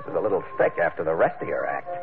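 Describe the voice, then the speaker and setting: Hard-boiled sea captain, vintage radio. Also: male voice, rumbling low, racing, creaky. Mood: sardonic, challenging. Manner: terse, sardonic, tough-guy delivery. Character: hard-boiled, wry sea captain telling his adventure. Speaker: narrator and lead actor. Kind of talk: 1940s radio adventure acting and first-person narration. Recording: narrow vintage radio recording, music behind.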